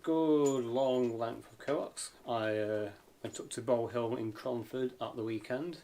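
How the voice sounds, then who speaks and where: A man's voice: mid-range voice, takes it slowly, dark tone. Hesitant man, informal, with noise behind. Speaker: amateur radio vlogger reviewing gear. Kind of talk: casual hands-on product review. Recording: clear background noise and clicks.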